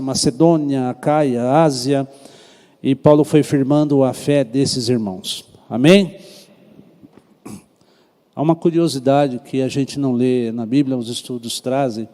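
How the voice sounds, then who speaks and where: A man's voice: medium pitch, warm tone. A man with a Brazilian Portuguese accent, a pastor teaching a Sunday school Bible class in a conversational way, often checking in with the class. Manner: conversational, asks the class questions. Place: church hall. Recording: echoey hall with long reverb.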